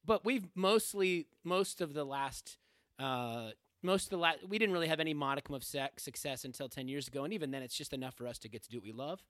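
Bright-voiced man, halting earnest talk. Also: fairly high pitch, no echo, studio quality. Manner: halting, restarts phrases, earnest. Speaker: podcast host and band singer. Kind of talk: casual conversation.

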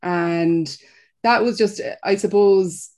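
A woman's voice: mid-range voice, slow, a little mellow. A woman with a Kerry Irish accent on a video call, talking as an editor, easygoing and repeating words as she thinks. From Kerry, Ireland. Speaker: film editor. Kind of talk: panel discussion.

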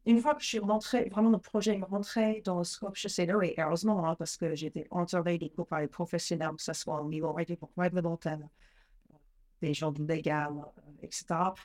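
A woman's voice: fairly low pitch, little pitch movement, a little fast, a little mellow. A woman in her forties with an American accent, a brand founder talking in a casual interview, chatty with frequent restarts. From United States.

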